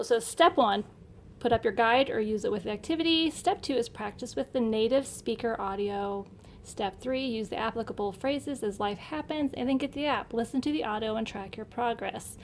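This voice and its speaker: Female homeschool vlogger, middle-aged, slightly high voice, casual and upbeat; home recording with faint noise. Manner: chatty, upbeat, enthusiastic. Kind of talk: casual unboxing narration. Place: indoor room at home.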